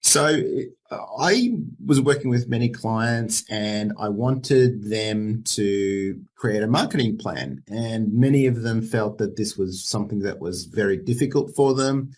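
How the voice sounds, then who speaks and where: A man's voice: lowish voice, a little slow, few pauses, darkish tone. A man being interviewed about his book, speaking easily and laughing often, sometimes repeating words as he starts. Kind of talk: relaxed interview answers.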